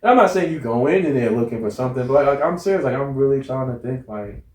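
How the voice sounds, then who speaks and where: A man's voice: medium pitch, lively intonation, fast. An American man talking casually and candidly as an interview guest, rambling and often checking in with his listener. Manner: rambling, repeats "you know what I'm saying". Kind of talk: casual, candid conversation about a relationship. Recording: roomy, echoey sound.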